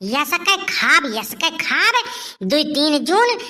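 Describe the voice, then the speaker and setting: A woman's voice: high voice, very animated, takes it slowly, bright, forceful tone, full character voice. A woman voicing a scheming, melodramatic older village aunt in a cartoon comedy, with an eastern Uttar Pradesh Awadhi accent and wailing, repetitive exclamations. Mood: greedy glee. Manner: wailing, exclamatory, repeats herself. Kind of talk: cartoon comedy monologue in rural dialect.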